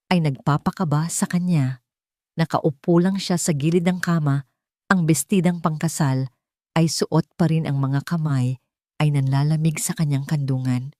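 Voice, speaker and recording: A woman's voice: low voice, dark tone, dry recording, clean studio sound. A woman with a Filipino accent reading a scripted dramatic story as a narrator.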